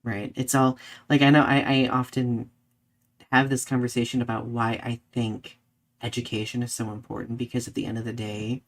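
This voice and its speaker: A man with a mid-pitched voice hosts a live discussion podcast. He talks casually and conversationally, sprinkling in "like" and tagging points with "right?" as he goes. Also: flat delivery, normal speed, gravelly. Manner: conversational, peppers talk with "like" and "right?". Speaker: podcast host.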